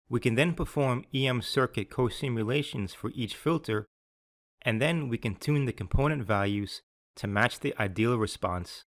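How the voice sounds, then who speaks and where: A man with a mid-range voice narrates a scripted software demonstration. His delivery is measured and instructional, explaining each step as a tutorial narrator would. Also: mid-range voice.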